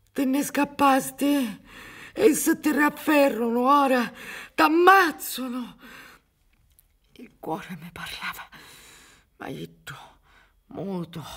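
Slow, creaky, grief-stricken old Sicilian peasant. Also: female voice, lowish voice, a bit bright, exaggerated voice. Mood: pleading, anguished, then hushed, mournful. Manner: slow, halting, swinging from whispers to cries. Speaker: stage and radio actress. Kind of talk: dramatic radio play monologue. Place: theatre stage.

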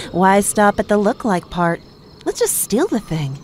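Woman acting a sly female bounty hunter, mid-pitched. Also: sing-song, slow pace, slight background noise. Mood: mischievous. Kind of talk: fan audio drama acting.

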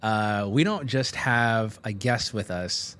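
A man's voice: on the low side, a bit bright. Upbeat man hosting a podcast. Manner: upbeat, playful, quick to laugh. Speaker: podcast host. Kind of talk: casual faith podcast chat. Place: small studio, indoors. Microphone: boom-arm studio mic.

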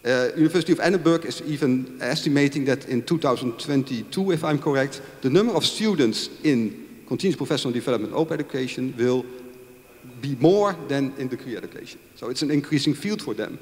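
A man's voice: mid-range voice, animated, quick pace, a little mellow. A man in his fifties speaking emphatically as a panelist, arguing with rhetorical questions into a handheld microphone. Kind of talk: panel discussion argument. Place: large conference room with audience. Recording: echoey hall over handheld microphone.

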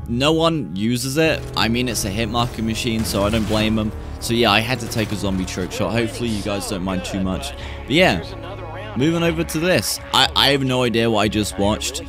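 A young man in his twenties giving relaxed, rambling commentary on gameplay clips from a small room with his gaming setup, talking into a studio mic on a boom arm. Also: moderate pace. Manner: relaxed, rambling, hype reactions. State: recovering from losing his voice. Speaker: gaming YouTuber. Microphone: studio mic on boom arm, webcam. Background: music under the voice.

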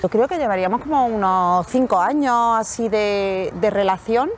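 A woman's voice: medium pitch, a little hiss or hum. A woman, openly telling her relationship story in an outdoor interview. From Córdoba, Spain. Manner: open, chatty, quick asides. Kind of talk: personal account. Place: park bench outdoors.

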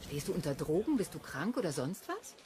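A woman's voice: low-pitched, big pitch swings, a little fast, noisy background. An actress playing a blunt, suspicious girlfriend in a radio crime drama. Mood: surprised, suspicious. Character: blunt, suspicious girlfriend back from a business trip.

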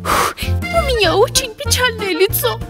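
Cartoon role: sensitive, fretful little lady pig, extremely high voice, slow delivery, music underneath. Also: lively intonation. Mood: plaintive, upset. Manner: plaintive, fretful. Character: tearful, sensitive female winged pig who dotes on plants. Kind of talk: children's cartoon acting. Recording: music behind the voice.

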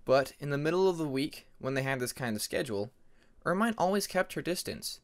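A man's voice: fairly high pitch, lively intonation, fairly quick. A man narrating a fantasy story as an amateur audiobook reader, in a dramatic scripted style.

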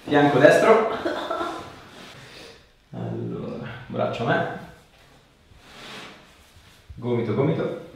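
A man's voice: medium pitch, wildly swooping pitch, slow, creaky, long pauses. A man in his thirties working as a chiropractor, chatting casually and giving a patient brief, repeated instructions. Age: 30s. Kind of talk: casual chat and short instructions to a patient during treatment. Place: small bare treatment room, indoors. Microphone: camera a few steps away. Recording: echoey room, distant mic, faint noise.

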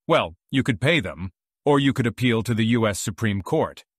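A man's voice: mid-range voice, lively intonation, moderate pace, breathy edge, no room sound, studio quality. An actor playing a sly, irreverent male archangel in an audiobook, speaking with mock deference. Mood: slyly respectful. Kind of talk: audiobook character acting.

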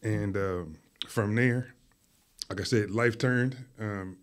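A man raised in Philadelphia, whose first language was Arabic, talking casually as a podcast guest in a school studio, telling his story in a relaxed way with many fillers. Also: lowish voice, slow. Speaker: guest, artist and art teacher.

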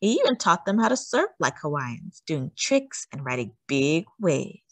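Animated, slightly husky woman reading a storybook. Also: low voice, no echo. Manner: animated, emphatic delivery with drawn-out words. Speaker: storytime host reading aloud. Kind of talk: expressive children's storybook read-aloud.

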